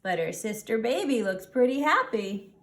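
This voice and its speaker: A woman reading a children's storybook aloud in an animated way, pausing to explain things simply to young listeners. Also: on the high side. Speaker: storybook reader. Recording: roomy, echoing sound.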